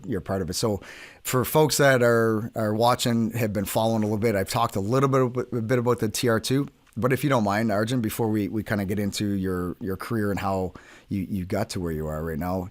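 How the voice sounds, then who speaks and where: A man's voice: on the low side, fairly quick, dry recording. A man hosting an interview in an informal, plain-spoken way. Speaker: podcast host, military veteran. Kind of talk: casual interview chat.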